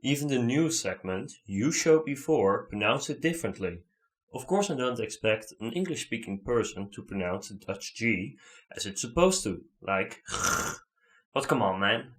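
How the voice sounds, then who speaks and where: A man's voice: mid-range voice, lively intonation, moderate pace, fairly bright tone. A man commenting on a video clip, rebutting it in an earnest, argumentative way.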